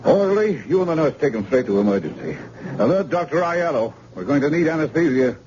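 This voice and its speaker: An actor playing a brisk, wry doctor barking orders in an emergency room in an old radio drama. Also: male voice, on the high side, sing-song. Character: brisk, cocky male hospital doctor. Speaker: surgeon. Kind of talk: 1950s radio drama acting. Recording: muffled old radio recording with hiss.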